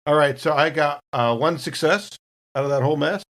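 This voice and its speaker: A woman's voice: low-pitched, fairly quick. A woman playing a tabletop game, casually and wryly thinking through what her character does.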